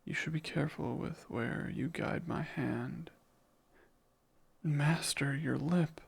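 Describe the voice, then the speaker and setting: A man voicing a devoted demon servant in an intimate ASMR romance, murmuring in a husky, breathy, formal way. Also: a little high, takes it slowly, heavily acted. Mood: hesitant, intimate. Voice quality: husky, breathy with heavy creak. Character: devoted, yearning male demon servant bound to his master.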